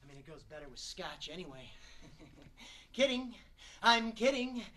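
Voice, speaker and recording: A man's voice: very high-pitched, expressive, measured pace, pushed and bright, full character voice. A man performing a theatrical monologue as an exasperated, wisecracking struggling actor, sarcastic and colloquial. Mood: sarcastic, needling.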